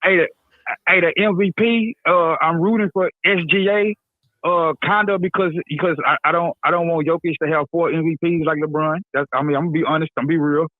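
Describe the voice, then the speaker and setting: Excitable male caller, high voice, tinny phone line, stammers and repeats. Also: a little fast, dry recording. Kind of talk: casual chat.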